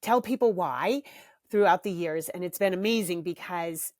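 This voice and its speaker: Middle-aged lady hosting a podcast, lowish voice, chatty and frank, bit of a cough, dry close mic. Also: moderate pace, a little mellow, no echo. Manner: candid, chatty, laughs easily. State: slight cough from bronchitis. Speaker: podcast host and parenting author. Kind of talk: casual solo podcast chat.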